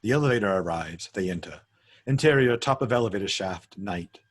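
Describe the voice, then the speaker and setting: A man's voice: lowish voice, expressive, a little fast. A man narrating stage directions in a script table read, matter-of-fact and occasionally stumbling over words.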